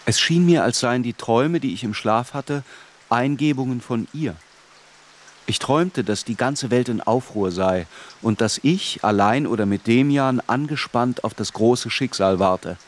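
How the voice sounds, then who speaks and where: An actor playing a man recalling his youth, narrating a literary radio drama in a measured, grave, reflective way. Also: mid-range voice, lightly acted.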